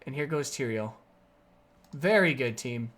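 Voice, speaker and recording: A game streamer giving live gameplay commentary in a raspy, sore voice, upbeat and explaining plays with sudden excited outbursts. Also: mid-range voice, unhurried. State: raspy, sore voice from energy drinks.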